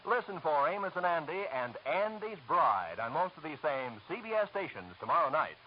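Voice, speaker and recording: Vintage radio announcer, male, raised pitch, 1940s network delivery, faint hiss. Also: very animated, normal speed. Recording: muffled, hissy old radio broadcast.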